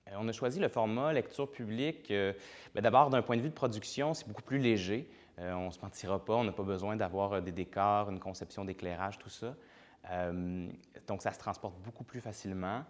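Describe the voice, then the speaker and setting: A man's voice: fairly low pitch, a little fast. A man speaking as a playwright in an interview, reflective and hesitant, with many fillers.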